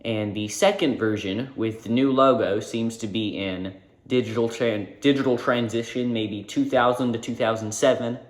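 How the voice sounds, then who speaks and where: Male narrator for a casual explainer; mid-pitched, relaxed delivery, recorded in an echoey room. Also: moderate pace. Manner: informal, with self-corrections. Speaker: video essay narrator. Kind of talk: narrated video essay. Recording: roomy echo.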